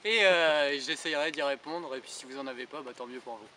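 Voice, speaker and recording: A man's voice: on the high side, a little fast, bright, forceful tone. A man giving an informal motorcycling tutorial outdoors, speaking colloquially and poking fun at himself. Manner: self-deprecating, joking, colloquial. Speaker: motorcycling vlogger presenting a tutorial. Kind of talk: informal spoken tutorial with casual banter. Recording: noisy outdoor recording with vehicle sounds.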